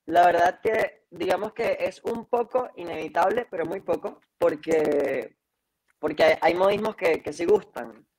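A man's voice: sits high, a little slow, a little mellow, low-quality recording. A man in his twenties with a Venezuelan accent and a slight Chilean lilt, chatting casually and reflectively over a video call. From Caracas, Venezuela. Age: 20s. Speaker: interview guest, singer-songwriter. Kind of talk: casual chat. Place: indoor room on a video call.

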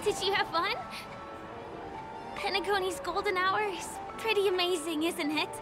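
Soft-hearted young game heroine, high voice, earnest, courteous, wistful, background music. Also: female voice, fairly even intonation, normal speed. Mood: gentle, friendly. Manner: earnest, gentle, polite. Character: gentle young woman performer, soft-spoken, wistful, observant. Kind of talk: video game voice acting.

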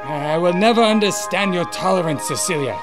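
A man's voice: high voice, expressive, a little fast. An actor playing a stern, scornful immigrant father in a radio drama. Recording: music playing underneath.

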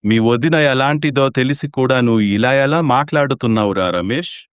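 A man's voice: medium pitch, moderate pace, clean tone, no room sound, natural voice. A stern old patriarch played by a man in a family story. He speaks bluntly and with command in a mid-range voice, recorded very dry. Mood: stern, reproachful. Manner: commanding, reproachful.

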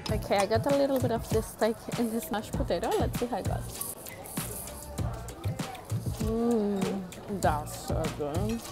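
A woman's voice: on the high side, a little slow, long pauses, over loud music. A young woman chatting enthusiastically to camera as she reviews food at an outdoor waterfront restaurant, speaking into an earphone mic. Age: young adult. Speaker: food vlogger. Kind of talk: casual vlog chat to camera. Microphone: wired earphone mic.

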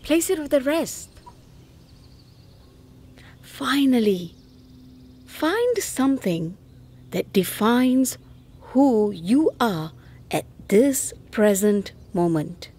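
A woman with a breathy voice narrating slow guided instructions for an audio art piece. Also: fairly high pitch, very animated, warm tone.

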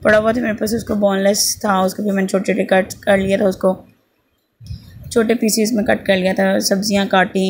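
Pakistani mom in her thirties, hoarse and rambling homely voice-over, low-key and monotone. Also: brisk, slight background noise. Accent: Pakistani Urdu. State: sore throat, slight fever. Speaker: vlogger, mother. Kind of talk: casual voice-over narration. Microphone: voice-over at close mic.

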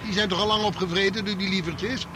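A gruff, aggressive criminal man played by an actor in a Dutch radio play, with a Netherlands accent. His high-pitched voice is coarse, sarcastic and bossy. A clear noisy rumble sits behind it. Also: fairly even intonation, fast talker, close to an everyday voice. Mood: gruff, commanding. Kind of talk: radio drama acting.

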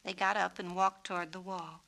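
A woman reading a children's storybook as narrator, with a measured, expressive delivery. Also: lowish voice, gravelly, poor audio. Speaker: author and narrator.

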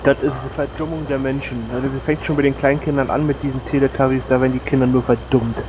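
A man's voice: medium pitch, little pitch movement, quick pace. A man talking casually as a guest co-commentator on a gaming let's play. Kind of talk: casual chat. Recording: dull audio with faint vehicle noise.

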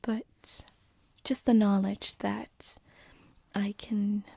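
A woman plays a tender succubus who haunts bedrooms and is shyly in love. She whispers slowly and very breathily, hesitating softly. The sound is dry and intimate, an ASMR roleplay on a binaural mic. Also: mid-range voice, level tone, no room sound, exaggerated voice. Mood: tender, wistful. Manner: soft, hesitant, tender, with breathy giggles and sighs. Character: gentle lovesick female sleep paralysis demon, succubus, shyly affectionate. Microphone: close binaural microphone.

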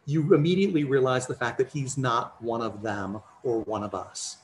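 A middle-aged American man giving a measured, explanatory academic lecture over a video call from a home office, using personal examples. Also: medium pitch, a little hiss or hum. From United States. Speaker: conference lecturer. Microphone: video call, computer mic.

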